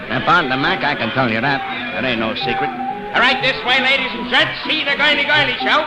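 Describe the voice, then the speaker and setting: A man's voice: high-pitched, brisk, loud music behind the voice, lightly acted, poor audio. An actor playing a brash, gossipy American carnival barker with slangy showman's patter in a radio drama. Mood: hawking. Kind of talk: old-time radio detective drama acting.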